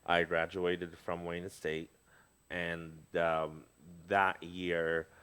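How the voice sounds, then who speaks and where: A man's voice: deep voice, flat delivery, drawn-out words, fairly bright tone, clean tone. A middle-aged man from Lebanon, talking in a casual conversation as a guest, hesitant, with many fillers and restarts.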